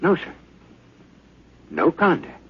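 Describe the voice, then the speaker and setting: Excitable army radio operator, animated pitch, unhurried pace, old radio serial. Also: male voice, husky, heavily acted. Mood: subdued. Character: anxious male Earth radio sergeant, dutiful and excitable. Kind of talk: 1950s radio drama acting. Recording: muffled, noisy old radio recording.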